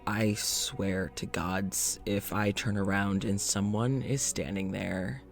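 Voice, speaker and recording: A man's voice: fairly low pitch, steady tone, takes it slowly, gravelly, soft background music. A man playing a polite, uneasy scientist in an audio drama, speaking in a reflective, hesitant, conversational way, as if into a journal. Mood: uneasy, curious. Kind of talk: audio drama monologue and dialogue.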